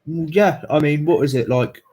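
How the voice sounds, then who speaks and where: A man's voice: fairly high pitch, measured pace, darkish tone. A man from South Africa with a mixed South African, English, American and Irish accent, chatting in a casual, rambling, jokey way on a livestream. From South Africa, living in England.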